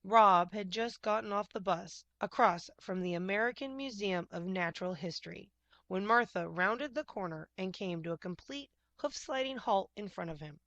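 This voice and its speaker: A woman reading a children's picture book aloud in expressive narration. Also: mid-range voice, normal speed, no room sound, lo-fi sound.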